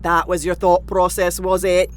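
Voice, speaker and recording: A woman's voice: fairly low pitch, completely flat delivery, normal speed, slight background noise, lightly acted. A woman playing a wry, irritable spaceship crew member in a comic audio drama, dry and sarcastic.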